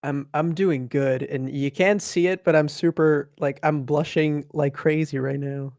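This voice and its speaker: A young man with a Dutch accent chats as a podcast guest, his voice sitting a little high. He talks in an upbeat, gushing way and often restarts his sentences. The sound is dry and close to the mic. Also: steady tone, measured pace, darkish tone, no room sound. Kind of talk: casual interview chat.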